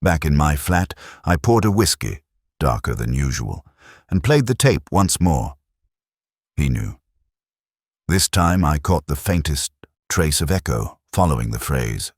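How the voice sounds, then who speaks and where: A man acting as a melancholy detective tells his mystery in the first person, in an extremely deep voice. His delivery is reflective and literary, with wide swings of intonation over measured phrases. The sound is dry and intimate, like a scripted audio drama. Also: very animated, no echo, full character voice. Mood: brooding, hushed. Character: brooding, melancholy male police inspector narrating his case.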